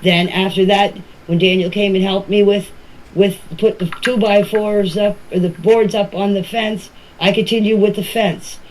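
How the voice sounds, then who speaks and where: A woman's voice: mid-range voice, steady tone, moderate pace. A middle-aged or older woman recounting events informally in voice messages, plainly and step by step, with colloquial grammar. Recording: noisy voice-message clips.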